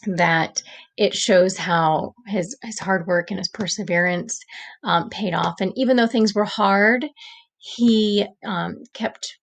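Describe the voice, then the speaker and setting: A woman's voice: medium pitch, takes it slowly, gravelly. A woman who reads picture books aloud for children, speaking as a storytime host. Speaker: storytime reader, educator. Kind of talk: picture book read-aloud.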